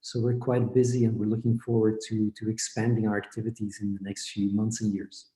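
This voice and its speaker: A man from Belgium, a company founder, explaining his work step by step in a measured way over a video call. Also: lowish voice, little pitch movement, warm tone. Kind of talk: explanatory interview answers. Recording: echoey room.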